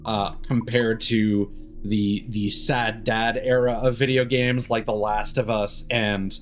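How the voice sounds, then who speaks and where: A man's voice: lowish voice, level tone, slow, faint music behind. A man doing casual live stream commentary on a game, rambling and swearing casually.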